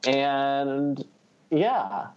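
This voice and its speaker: A man's voice: mid-range voice, sing-song, very slow, lo-fi sound, background noise. A man chatting casually as a podcast co-host, telling personal anecdotes in a wry, self-deprecating way.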